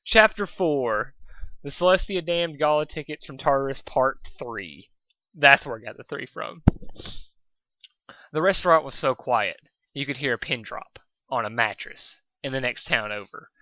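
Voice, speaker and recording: A man's voice: mid-range voice, expressive, normal speed, sharp tone, no echo, highs cut off. A man reading a story aloud in an informal amateur way, stumbling over words now and then. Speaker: fan fiction reader.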